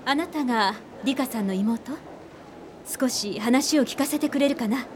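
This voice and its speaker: An actress playing a composed, analytical senior detective in a radio drama, speaking deliberately and with authority. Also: female voice, on the high side, a little hiss or hum, natural voice.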